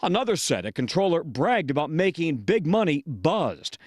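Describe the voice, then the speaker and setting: An American man reports the news in a high-pitched voice. His scripted correspondent delivery moves widely up and down in pitch. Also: very animated, moderate pace, dry recording, studio quality.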